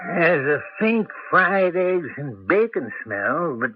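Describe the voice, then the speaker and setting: A man's voice: fairly high pitch, big pitch swings, slow pace, husky, no echo, full character voice. A man acting in a 1980s radio drama as an anxious, grumbling old grandfather with a hesitant, querulous delivery. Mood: bewildered, doubtful. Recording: muffled old radio broadcast.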